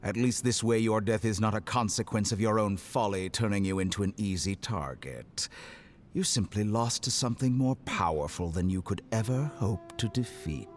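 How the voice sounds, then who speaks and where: A man's voice: fairly low pitch, moderate pace, faint music behind, close to an everyday voice. A man playing a coldly mocking, theatrical villainous doctor in a video game, condescending and mock-polite. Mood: mocking, menacing. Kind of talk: dramatic video game voice acting.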